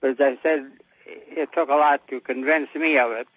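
A man's voice: medium pitch, steady tone, normal speed, no echo. A ninety-year-old American man calling in to a show, chatting in a plain-spoken, folksy way. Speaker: caller. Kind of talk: casual phone-in chat. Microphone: telephone. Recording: dull lo-fi call audio.

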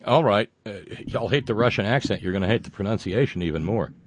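A man hosting a show, reading viewer comments and delivering sarcastic political commentary that swings from loud rants to muttered asides, with frequent fillers and profanity. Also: fairly low pitch, quick pace, slight background noise. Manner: mocking, builds into loud rants then drops to muttering; profane, many fillers and restarts.

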